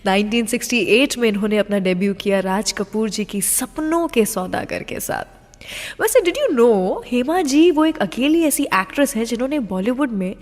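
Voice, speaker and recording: A long reverb hangs around this Indian woman's fairly high voice. She hosts a radio show in a scripted storytelling style, speaking straight to listeners with teasing questions and slipping in English phrases. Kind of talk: scripted radio presenting with film trivia.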